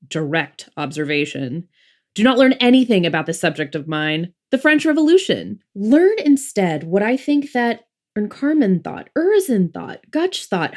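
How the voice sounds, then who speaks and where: A woman's voice: fairly high pitch, a little mellow. A woman playing a dogmatic, dismissive mother in an audiobook drama, with a lofty, precise, declamatory manner. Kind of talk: dramatic audiobook dialogue and oratory.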